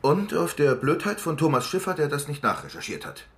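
An actor playing a gruff, commanding newspaper chief, blunt and scornful, in a radio drama. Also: male voice, a little high, very animated, brisk, a bit bright. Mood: angry, scornful. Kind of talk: German radio drama acting.

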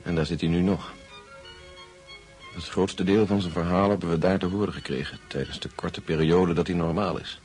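A man's voice: sits low, fairly even intonation, normal speed, darkish tone, soft background music, natural voice. An actor playing a sober man who gives a grim report in a radio drama. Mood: somber, matter-of-fact. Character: sober male acquaintance reporting a grim outcome.

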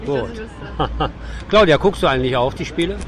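A man's voice: on the high side, expressive, moderate pace, a bit bright, a faint crowd. A man working as a radio host, asking questions in a casual on-location interview.

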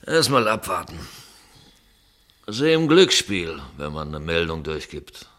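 A cynical policeman on station duty in a radio drama. His voice is deep, and he talks in a dry, sardonic mutter. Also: sing-song, a little slow, a bit bright, full character voice. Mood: wry, impatient. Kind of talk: German radio play acting.

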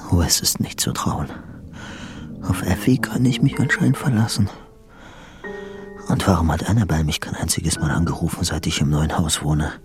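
A man's voice: deep voice, normal speed, long pauses, over music, lightly acted. An actor in a radio drama playing an obsessive, deluded lovesick man, intense and insistent. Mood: brooding, desperate.

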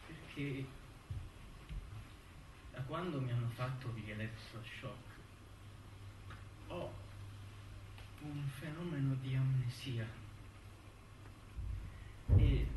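An actor playing a wounded young man committed to an asylum by his family, speaking in deliberate, theatrical phrases with pauses. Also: medium pitch, noisy background, close to an everyday voice. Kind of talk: stage monologue acting. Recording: roomy distant stage recording with music. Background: music.

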